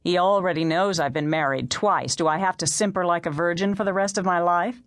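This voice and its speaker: A woman voicing a breezy, blunt woman friend in an audiobook story, with a flippant, brisk manner. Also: lowish voice, dry recording, clean studio sound, natural voice. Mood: breezy, teasing. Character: breezy, blunt, twice-married female friend.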